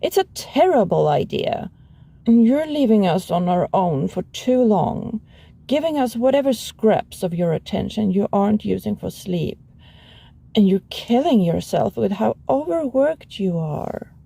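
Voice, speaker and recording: A woman playing a sullen, possessive animal hybrid roommate in an ASMR roleplay, grumbling in a sardonic, husky voice. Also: mid-range voice, big pitch swings, a little slow, warm tone, heavily acted. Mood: sullen, reproachful. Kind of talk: ASMR audio roleplay acting.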